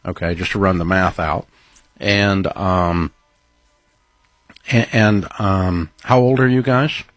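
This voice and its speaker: A man's voice: low voice, slow, no room sound, soft background music. A man hosting a call-in advice show, cutting in with direct, probing questions. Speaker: host.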